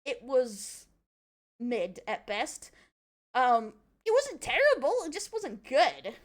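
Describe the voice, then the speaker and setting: Woman, Australian accent, high-pitched voice, very animated, casual rambling streamer, opinionated, sweary. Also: takes it slowly. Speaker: streamer reacting to a video. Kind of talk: casual live reaction chat.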